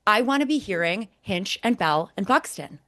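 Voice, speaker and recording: A woman's voice: medium pitch, expressive, moderate pace, fairly bright tone. A woman co-hosting a motorsport podcast, giving emphatic, critical commentary and explaining point by point. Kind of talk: opinionated podcast commentary on race broadcasts.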